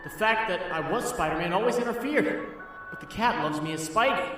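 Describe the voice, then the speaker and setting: Guy doing a young superhero in a comic dub, kinda high voice, earnest and dramatic, music pretty loud behind him. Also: expressive, brisk. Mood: conflicted, musing. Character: earnest young male superhero, lovestruck and torn about identity.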